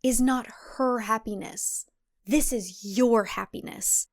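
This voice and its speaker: A woman's voice: a little high, slow. A woman voicing a resolute young woman standing up to a villain, emphatic and indignant, in a thriller audio drama.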